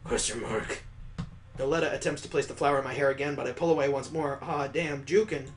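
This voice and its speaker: A man's voice: medium pitch, fairly even intonation, fairly quick, a little hiss or hum. A man reading a visual novel aloud as host of a gaming video, breaking off into wry, jokey asides. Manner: wry, jokey, wanders off into side comments.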